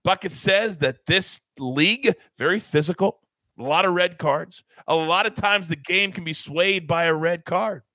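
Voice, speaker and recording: Male betting-show host, high-energy and showy, crisp bright tone, dead-dry studio sound. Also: moderate pace, no echo. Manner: hyped, showy, playful swings in pitch. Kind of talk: sports betting show hosting.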